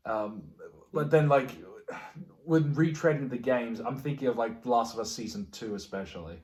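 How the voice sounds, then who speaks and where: A man's voice: mid-range voice, moderate pace, darkish tone. A man in a casual podcast chat, informal and agreeable, thinking aloud as he goes. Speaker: podcast co-host. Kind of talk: casual chat about film and game adaptations. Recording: echoey, roomy sound.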